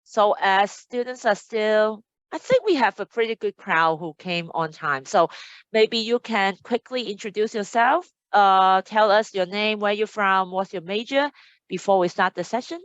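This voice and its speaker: A woman's voice: medium pitch, animated, unhurried, no echo, dull audio. A woman hosting an online admissions webinar as a casual chat, upbeat and chatty as she reads out audience comments. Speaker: admissions host. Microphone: video call.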